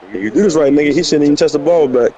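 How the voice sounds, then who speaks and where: A man's voice: on the high side, racing, dark tone, a little hiss or hum, low-quality recording. A man chatting casually during a video game, making offhand slangy remarks about the plays. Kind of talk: casual gaming chat.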